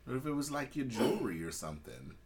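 A man's voice: medium pitch, very animated, quick pace, a little mellow. A man in his late thirties chatting informally as a podcast co-host, asking questions in casual, slangy banter over slight background noise.

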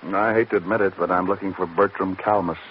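An American actor playing a cool, flippant hard-boiled private detective in an old radio drama, with deadpan wisecracks. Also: male voice, sits low, fast, slight background noise, close to an everyday voice. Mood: flirtatious wisecracking. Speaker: lead actor and narrator. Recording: dull old radio recording with music.

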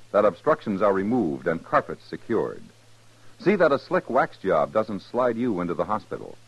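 A man with a low, mellow voice announces in formal 1950s radio style. His pitch swings widely and emphatically. The old recording is dull, with faint music. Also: husky.

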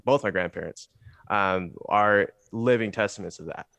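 A man's voice: lowish voice, moderate pace, gravelly, a bit bright, dry recording. Rambly young man from the Bay Area. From San Francisco Bay Area, USA. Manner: rambling, full of "like" and "you know". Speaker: guest musician. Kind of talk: casual podcast chat.

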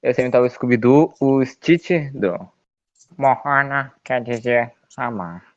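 A man's voice: medium pitch, measured pace, dull audio. A man from southern Brazil with a gaúcho accent, bantering casually on a podcast in a slangy, joking way. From Rio Grande do Sul, Brazil. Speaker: podcast participant.

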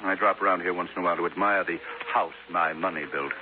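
A man's voice: deep voice, fairly even intonation, fast, phone-quality sound, lightly acted. A man playing a haughty, evasive former movie star in a 1950s radio drama, theatrical and amused in his condescension. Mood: bitterly amused. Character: vain, disdainful male former film star, smooth evasive suspect. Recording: thin, hissy 1950s radio recording.

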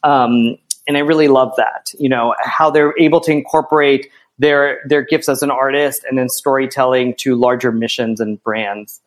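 An American man speaking thoughtfully as an interview guest, often checking in with a quick "right?". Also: mid-range voice, steady tone. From small town in Pennsylvania, United States. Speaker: interview guest, theatre artistic director. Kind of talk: conversational interview.

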